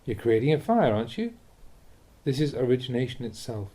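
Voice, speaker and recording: Dark, soft-toned man reading spiritual teachings. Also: mid-range voice, big pitch swings, fairly quick, husky. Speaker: Buddhist monk reading translated teachings. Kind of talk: read-aloud dharma talk, instructive narration.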